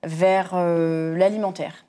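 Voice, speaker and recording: A woman's voice: lowish voice, fairly even intonation, takes it slowly, mellow. A woman from southwest France, a guest in an interview, answering in an easygoing, hesitant way with frequent fillers. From southwest France, near Bordeaux. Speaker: guest, company security manager.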